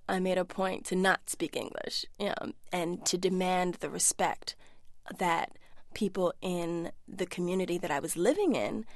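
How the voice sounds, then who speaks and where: A woman's voice: lowish voice, no room sound, studio quality. An actress in her thirties from the United States, talking candidly and reflectively in a remote interview and acting out voices when she quotes people. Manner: animated, acts out quoted voices. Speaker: actress and guest. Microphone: studio remote link.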